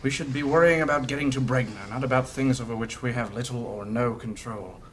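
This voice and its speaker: A man playing an elderly, courteous and reasonable mage in a fantasy audio drama, speaking formally and with measured argument. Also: medium pitch, slight background noise, lightly acted. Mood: firm, calming.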